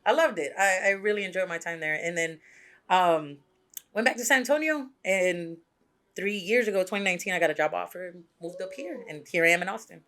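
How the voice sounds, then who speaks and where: A man's voice: sits high, a little fast, pushed and bright. Dj guy from mexico, chatting easy and real, keeps saying "right?". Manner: candid, conversational, says "right?" often. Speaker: DJ guest. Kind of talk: casual interview talk.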